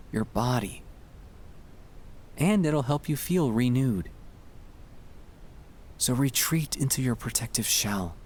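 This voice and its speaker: A man narrating a sleep-aid ASMR monologue in a gentle, hushed, soothing and reassuring manner. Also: mid-range voice. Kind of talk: ASMR guided relaxation, scripted comfort monologue.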